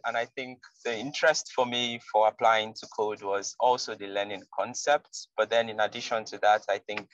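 Low-voiced man calling from a car. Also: fairly bright tone, dry recording, muffled recording. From Nigeria. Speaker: software engineering student. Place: car in traffic.

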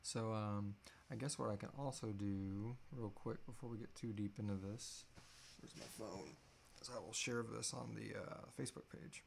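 A man's voice: on the low side, steady tone, normal speed, creaky, a little hiss or hum. A man in his thirties hosting a casual live Q&A in an informal, easygoing and sweary way. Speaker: podcast host. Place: small indoor room at home.

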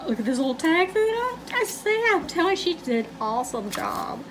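A woman's voice: very high-pitched, very animated, a little fast. A woman, chatting to viewers in a casual vlog and calling them "y'all". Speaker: vlogger. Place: car interior, then at home. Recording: car noise behind voice.

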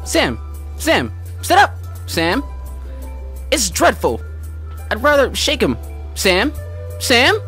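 A woman's voice: on the high side, huge pitch swings, slow, long pauses, fairly bright tone, soft background music, heavily acted. A woman acting a fretful, evasive wife in an amateur radio mystery drama. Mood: worried. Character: fretful, evasive wife hosting a dinner party.

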